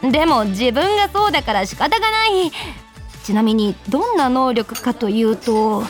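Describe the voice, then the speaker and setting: Woman voicing female office worker in her twenties, bubbly, high voice, swooping intonation, self-deprecating, radio drama. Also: big pitch swings, moderate pace, soft background music. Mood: bright, confiding. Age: 20s. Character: cheerful 24-year-old female office worker, secretly psychic. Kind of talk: radio drama acting and first-person narration.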